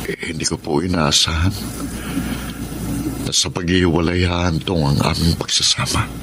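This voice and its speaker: An actor with a Filipino accent playing an earnest, respectful, heartbroken son in a radio drama. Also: male voice, deep voice, fairly even intonation, normal speed, noisy background, natural voice. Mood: sad, resigned. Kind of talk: Tagalog radio drama acting.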